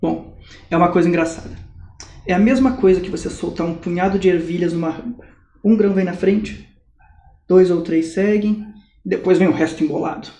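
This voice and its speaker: A man's voice: high voice, brisk, pauses often, mellow. An actor with a Brazilian accent playing a Swiss ex-mercenary in his late thirties who tells war stories in a dry, amused way. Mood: wry. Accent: Brazilian Portuguese. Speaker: acting student. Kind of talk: mock-documentary stage acting. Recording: echoey room.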